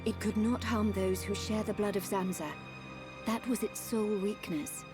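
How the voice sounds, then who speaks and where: An actress playing a calm, solemn woman of an ancient machine people in a game cutscene, speaking in a measured, formal way. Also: mid-range voice, natural voice. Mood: solemn, explaining. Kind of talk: video game cutscene acting. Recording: loud music behind the voice. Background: orchestral game music.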